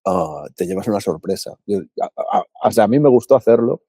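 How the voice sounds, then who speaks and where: A man from Paraguay co-hosting a podcast, thinking aloud in a relaxed, reflective chat with frequent restarts. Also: on the low side, a little fast, breathy edge, no room sound, studio quality. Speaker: podcast co-host. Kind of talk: casual reflective conversation.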